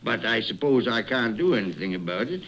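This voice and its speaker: A man's voice: mid-range voice, very animated, brisk, fairly bright tone. An actor playing a cold-hearted, miserly old businessman, curt and scornful, in radio drama style. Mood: grudging. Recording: noisy vintage radio recording.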